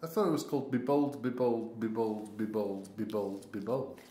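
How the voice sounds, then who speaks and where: A man's voice: fairly low pitch, fairly even intonation, normal speed, warm tone. A man hosting a podcast with casual, joking banter. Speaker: podcast host. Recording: roomy, echoing podcast recording.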